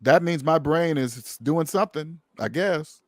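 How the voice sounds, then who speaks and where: A man's voice: a little high, moderate pace, dry recording. A man hosting a casual conversation, speaking emphatically and preachily, repeating phrases for stress. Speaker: podcast host.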